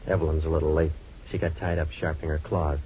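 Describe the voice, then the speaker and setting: An actor playing a wry, deadpan private eye, delivering terse wisecracks and narration in an old-time radio detective drama. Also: male voice, very deep voice, fast talker. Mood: cool, deadpan. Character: wry, hard-boiled male private eye telling his case. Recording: dull, noisy old radio recording.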